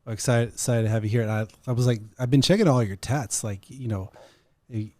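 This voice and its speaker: A man's voice: medium pitch, a little fast, darkish tone, no echo. A man co-hosting a podcast in casual chat, with informal, slangy wording. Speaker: podcast co-host.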